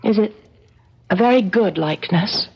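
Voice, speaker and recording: A woman's voice: mid-range voice, moderate pace, vocal fry, husky. An actress playing an anxious, emotional woman in a radio suspense drama, with a dramatic, hesitant delivery. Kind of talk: 1970s radio drama acting. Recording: dull, faintly noisy old radio recording.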